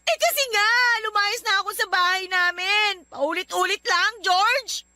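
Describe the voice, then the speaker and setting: Actress as a high, bright, plaintive young girlfriend. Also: a little slow, dry recording, full character voice. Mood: tearful, sulky. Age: young adult. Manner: plaintive, sulky. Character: upset, needy young girlfriend who ran away from home. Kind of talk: Tagalog radio love drama acting.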